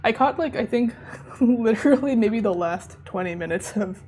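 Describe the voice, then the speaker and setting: A slightly hoarse woman chatting casually to her viewers while she plays a game, rambling and laughing as she goes. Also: on the high side, expressive, normal speed, warm tone, slight background noise. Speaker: streamer. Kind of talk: casual live-stream chat while gaming. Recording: game music playing behind.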